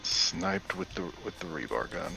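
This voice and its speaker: A man chatting casually as he plays a game, in a low-key mutter. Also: very animated, a little slow, creaky, background noise. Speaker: co-op gaming partner. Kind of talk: casual chat while gaming. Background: video game music.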